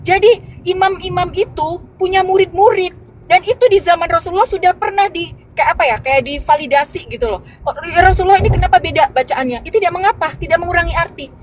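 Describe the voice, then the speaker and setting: High-voiced woman explaining casually. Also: a little hiss or hum, low-quality recording. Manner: explains with restarts and fillers, addresses viewers as guys. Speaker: host of a live religious debate stream. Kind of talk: casual live-stream talk. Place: on the road.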